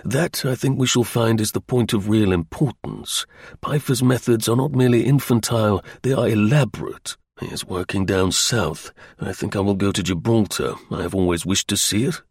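A cool, shrewd male intelligence officer as a man reading an audiobook plays him speaks with dry, deliberate calm. The voice is lowish and a little husky, closely recorded without room sound. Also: dry recording. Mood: musing, shrewd.

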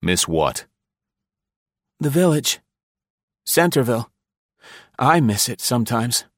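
A man's voice: medium pitch, big pitch swings, slow pace, dry recording, voice pushed up. A man voicing a paranoid, fearful middle-aged man who turns bitter and desperate, near sobbing. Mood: wistful. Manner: frantic, pleading, close to sobbing.

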